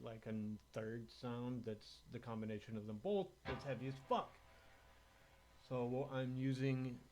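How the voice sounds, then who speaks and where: A man's voice: mid-range voice, a little mellow. A man chatting casually and with slangy, sweary informality as he demonstrates a guitar and production setup. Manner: slangy and sweary, laid-back bro talk. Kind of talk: casual chat, informal music tech demo.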